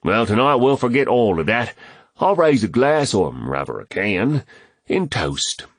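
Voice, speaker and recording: A man's voice: on the low side, big pitch swings, a little slow, no room sound, studio quality, voice pushed up, full character voice. Man putting on a jovial, swooping mock-Irish gent. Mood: hearty toasting. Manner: theatrical, bantering. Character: jovial, teasing Irishman trading quips at a party.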